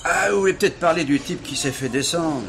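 An actor playing a streetwise, evasive bistro owner in a radio drama, slangy and grumbling. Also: male voice, fairly high pitch, brisk, natural voice. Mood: evasive, indignant. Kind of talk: French radio drama acting. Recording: clearly noisy recording.

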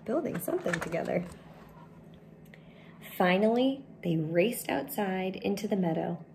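Expressive woman reading to children, unhurried pace. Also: mid-range voice, gravelly, slight background noise. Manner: expressive, addressing young children simply. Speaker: teacher reading a picture book to children. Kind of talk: children's storybook read-aloud. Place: indoors, small room at home.

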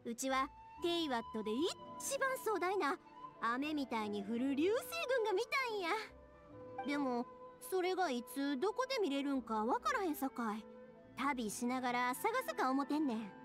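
A cheery young Kansai woman in an anime game, high and very animated. She is a warm-hearted, bubbly craftswoman, with music underneath. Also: moderate pace. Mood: cheerful, eager. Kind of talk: Japanese anime game voice acting.

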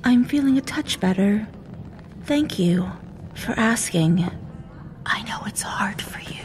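A woman's voice: medium pitch, expressive, slow, in a whisper. A woman playing a stoic, serious dragon in human form, restrained and dry, in a fantasy audio drama. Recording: clear background ambience under voice.

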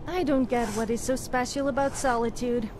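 An actress voicing a disgruntled, sharp-tongued female companion in a fantasy video game, with a sardonic, complaining manner. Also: a little high, fairly even intonation, normal speed, a loud crowd, natural voice. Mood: scornful, grumbling. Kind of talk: scripted game dialogue.

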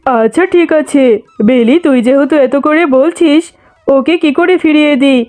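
A woman's voice: high-pitched, normal speed, a little mellow, close to an everyday voice. A woman voicing a stingy, haughty tigress mother in a cartoon, scornful and grudging. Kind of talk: cartoon voice acting. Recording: music under the voice.

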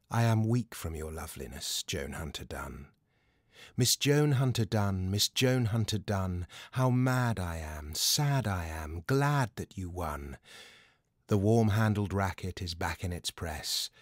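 A British man reads verse aloud in a lowish voice, taking his time over each phrase. His delivery is measured and expressive, and the sound is dry and close, without room echo. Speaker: poetry reader. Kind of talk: poetry recitation.

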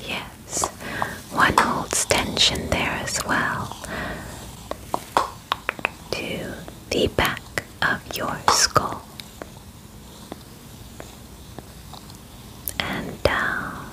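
A young woman plays a calm alien examiner in an ASMR roleplay. The voice is a soft whisper, delivered extremely slowly and deliberately. The wording is formal and clinical, with a soothing, reassuring manner, right up close to the mic. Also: low-quality recording. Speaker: ASMR performer. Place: small bedroom, indoors. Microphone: close microphone.

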